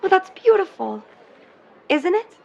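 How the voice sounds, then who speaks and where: A starry-eyed young mermaid in love. Her voice is high, sweet and earnest, with florid, polite 1950s phrasing, in a slightly muffled audio-drama phone call. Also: big pitch swings, unhurried, creaky, exaggerated voice. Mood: earnest, romantic.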